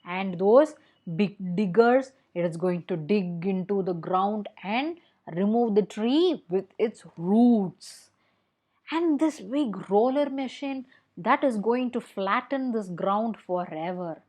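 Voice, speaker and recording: A woman's voice: medium pitch, animated, slow, darkish tone. A woman with an Indian accent voicing nervous, frightened tree animals in a children's storybook, exclaiming in dramatic alarm. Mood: nervous, dreading. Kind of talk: children's storybook read-aloud.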